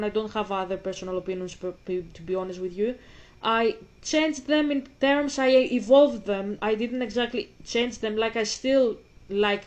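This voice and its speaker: Greek girl in her twenties talking casually, kinda high voice, keeps restarting sentences. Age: 20s. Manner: rambling, many restarts and repeats. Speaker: host. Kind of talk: casual commentary.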